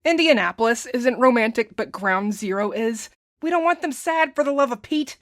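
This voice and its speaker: An actress playing a bossy, over-eager romantic meddler in an audio drama comedy, emphatic and insistent. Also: female voice, on the high side, lively intonation, moderate pace, fairly bright tone, no echo, clean studio sound. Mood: exasperated, insistent.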